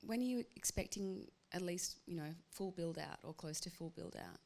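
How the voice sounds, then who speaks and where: Australian woman with a very low gravelly voice. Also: normal speed, a little mellow. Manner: enthusiastic, chatty, questions with fillers. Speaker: panel respondent, government official. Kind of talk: panel discussion.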